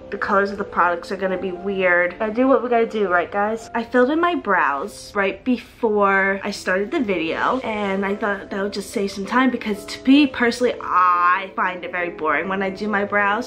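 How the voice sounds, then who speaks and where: An American woman in her twenties talks like a beauty vlogger chatting to her followers. Her delivery is informal and self-deprecating, at an ordinary mid-range female pitch. Music plays behind her as she speaks in a bedroom. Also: a bit bright. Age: 20s. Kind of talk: casual chatty makeup tutorial. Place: bedroom, indoors.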